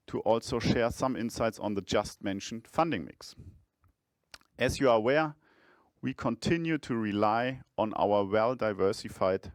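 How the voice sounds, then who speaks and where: Male finance exec reading out a results presentation, measured and formal, mid pitch, dry close sound. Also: no room sound. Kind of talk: formal scripted earnings presentation.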